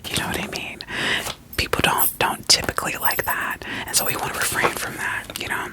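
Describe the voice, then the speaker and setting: A person whispering an ASMR roleplay as a doting, quirky therapist, soothing and reassuring with gentle questions. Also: a little fast. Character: doting, quirky therapist evaluating a vampire client.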